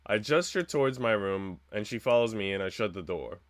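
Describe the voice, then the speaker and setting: A man's voice: fairly low pitch, expressive, fairly quick, no room sound, clean studio sound. A man reading a visual novel aloud as a streamer, narrating the script and dropping in slangy, joking asides.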